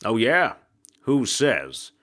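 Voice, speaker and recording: A man's voice: medium pitch, huge pitch swings, drawn-out words, a bit bright, exaggerated voice. A man voicing a sardonic, brash male ghost in an audiobook, talking like an old-time wisecracking tough guy. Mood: cocky, taunting.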